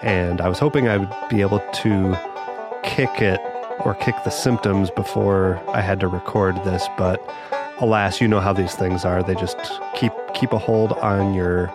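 A man's voice: sits low, steady tone, moderate pace. A man hosting a podcast with a voice scratchy from a cold, talking in an easygoing way with small restarts. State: hoarse from a cold. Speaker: podcast host. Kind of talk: casual spoken podcast intro. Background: banjo music.

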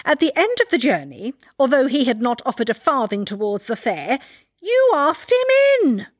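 An indignant middle-class Victorian wife with an English accent. Her voice is high and swoops expressively as she delivers cutting, deliberate sarcasm in a comic period audiobook. The sound is close and dry. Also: big pitch swings, no room sound. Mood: indignant scolding.

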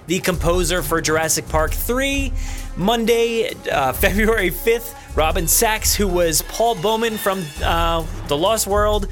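A man's voice: fairly high pitch, fairly bright tone. A man with a New Jersey accent hosting a podcast in a casual, rambling, jokey and self-deprecating way. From New Jersey, USA. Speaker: podcast host.

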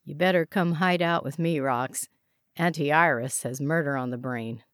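A woman's voice: low voice, moderate pace, no echo, studio quality, close to an everyday voice. A woman voicing a casual, easygoing aunt in an audiobook, laid-back and playful. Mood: casually teasing.

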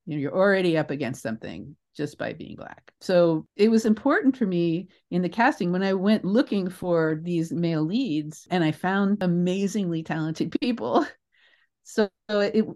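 An older American woman speaks as an interview guest, her voice sitting a little low. She answers conversationally, wandering into asides and reflections, and leans on the words that matter to her. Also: darkish tone. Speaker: interview guest, theatre creator.

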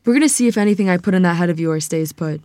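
An actress plays a proud, sturdy Southern church woman in her fifties. Her voice sits a little low, recorded close and dry. She teaches in a firm, motherly, matter-of-fact way, in a drama table read. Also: very fast, mellow, no room sound, lightly acted. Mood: relenting.

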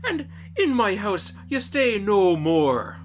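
Guy playing rich needy hot-tempered storybook dad, voice pushed up high, noisy recording. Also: big pitch swings, slow, heavily acted. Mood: outraged.

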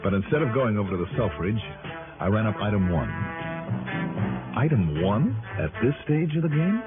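A man's voice: on the low side, very animated, normal speed. A man playing a wry, world-weary, hard-boiled investigator narrating his case in an early 1960s radio drama. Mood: wry narration. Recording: muffled old radio broadcast, music.

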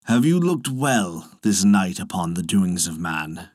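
Storyteller voicing a grave, low thunder god father. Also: big pitch swings, a little mellow, no room sound, clean studio sound, full character voice. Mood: grave, commanding. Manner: grave, slow archaic storybook phrasing. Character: solemn, fatherly thunder god speaking to his son. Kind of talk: storybook reading.

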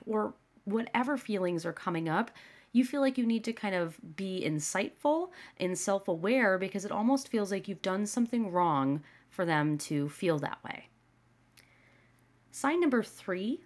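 Woman podcast host with a stuffy cold. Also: mid-range voice. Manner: earnest, conversational, with frequent "right?" and "you know". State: slightly nasal from a cold. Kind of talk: casual solo podcast talk.

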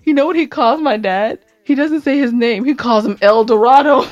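A woman co-hosting a podcast with casual comedic banter, telling stories in a playful, giggly, animated way. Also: a little high, normal speed, dry recording, lo-fi sound. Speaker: podcast co-host.

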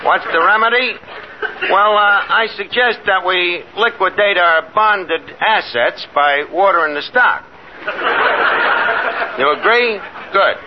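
A man's voice: high-pitched, slow pace. A man playing a brash, scheming, wisecracking tavern manager in a 1950s radio comedy, slangy with pompous big words. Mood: wry, wisecracking. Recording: noisy, muffled old radio recording.

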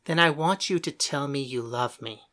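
A heartsick young woman pleads in a soft, earnest, hurt voice, as a woman narrator puts her on. The tone is bright and clear, and the reading is gentle throughout this audio story. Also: extremely low pitch, moderate pace, voice pushed down. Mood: pleading.

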